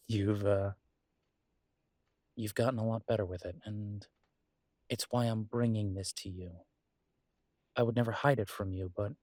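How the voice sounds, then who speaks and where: A man acting as an earnest, protective werewolf in an intimate roleplay audio, speaking tenderly to a partner with halting pauses. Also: lowish voice, darkish tone, dry recording, studio quality. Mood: hesitant, reassuring.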